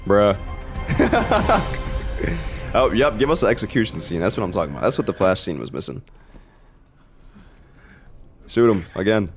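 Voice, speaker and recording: Excitable young man reacting to gameplay. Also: lowish voice, normal speed, long pauses. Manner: excitable, profane, disbelieving. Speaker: gaming streamer. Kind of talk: casual gameplay commentary. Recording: game music under voice.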